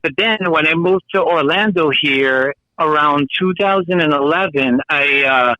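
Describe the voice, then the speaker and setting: Man, 50, guest storyteller: halting, filler-heavy, voice on the high side. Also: sharp tone, dry recording. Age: 50s. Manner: rambling, hesitant storytelling with many fillers. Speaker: guest artist. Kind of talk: casual interview chat. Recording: dull lo-fi sound.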